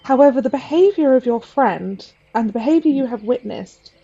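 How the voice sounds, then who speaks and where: A woman's voice: a little high, animated, fairly quick, mellow, slightly rough, highs cut off. A woman playing a fey judge, asking questions in a formal, interrogating manner. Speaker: player voicing a fey judge.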